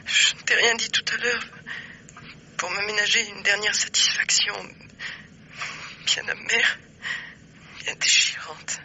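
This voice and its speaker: Anguished woman saying farewell, radio drama, drawn-out breathy delivery, piercingly bright tone, noisy vintage recording. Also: medium pitch, level tone. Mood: bitter, resigned. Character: anguished woman in love, bidding a final farewell. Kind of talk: 1950s French radio drama acting.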